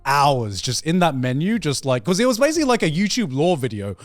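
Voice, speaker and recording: A man's voice: on the high side, big pitch swings, a little fast, no room sound. A man co-hosting a podcast, debating casually and repeating words as he works out his point. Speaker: podcast host.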